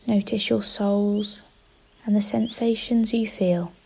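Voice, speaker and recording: A woman leading a guided meditation in a gentle, unhurried way, pausing between short instructions. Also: medium pitch, fairly even intonation, vocal fry, slight background noise. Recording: faint outdoor ambience with birdsong. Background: birdsong.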